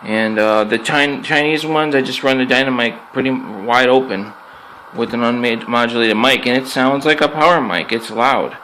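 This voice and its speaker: A man's voice: mid-range voice, normal speed, sharp tone, poor audio. A man giving a casual, unscripted hands-on review as a hobbyist, plainspoken and conversational, repeating himself for emphasis. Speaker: amateur product reviewer and radio hobbyist. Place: indoors in an apartment. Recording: amateur recording with clear background noise.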